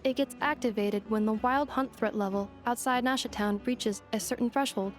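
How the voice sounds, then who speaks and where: A woman voicing a polite female robot guide in a video game, formal, measured and analytical. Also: a little high, fairly even intonation, lightly acted. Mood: matter-of-fact. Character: polite, precise young female robot guide, literal and composed. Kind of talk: video game character dialogue. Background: music.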